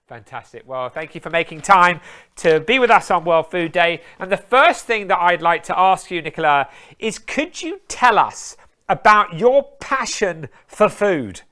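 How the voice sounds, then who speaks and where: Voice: medium pitch, sing-song, a little slow, sharp tone. Enthusiastic interview host. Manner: enthusiastic, praising, rambling questions. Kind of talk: live online interview.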